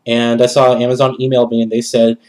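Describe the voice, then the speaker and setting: Young guy with a stuffed up nasal voice, medium pitch, rambling casually like a gaming vlog update. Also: monotone, quick pace, darkish tone. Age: young adult. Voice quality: nasal, congested. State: has a cold. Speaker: gaming vlogger. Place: small bedroom, indoors.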